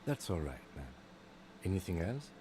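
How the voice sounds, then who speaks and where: A man putting on a low voice for a detective asking a witness short questions. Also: sing-song, moderate pace, noisy background. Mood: gruff. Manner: clipped, questioning.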